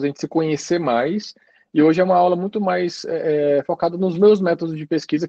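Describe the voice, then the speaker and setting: A man giving an informal university lecture over a video call, chatty and relaxed, often ending phrases with little checking tags. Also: fairly high pitch, no room sound, highs cut off. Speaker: guest lecturer.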